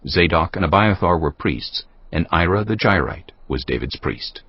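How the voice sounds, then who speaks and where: A man's voice: sits low, expressive, moderate pace, breathy edge, no room sound. A man reading scripted narration for a dramatized audio Bible. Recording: dull band-limited audio with music bed.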